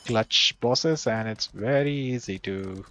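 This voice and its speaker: A man's voice: medium pitch, slow pace, a bit bright, slight background noise. A man giving casual playthrough commentary, with video game music behind him. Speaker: game streamer.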